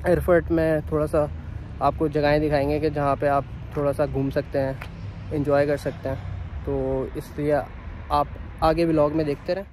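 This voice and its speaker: A man's voice: fairly high pitch, flat delivery, moderate pace, low-quality recording. A young man vlogging on a phone held at arm's length, chatting to viewers outdoors and on a train. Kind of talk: casual travel vlog narration. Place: outdoors on city streets and on a train. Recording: loud music under the voice.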